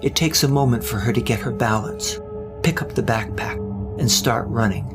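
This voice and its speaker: A man's voice: mid-range voice, normal speed, music in the background. A man reading scripted narration for an audio drama, with a wry storyteller's manner.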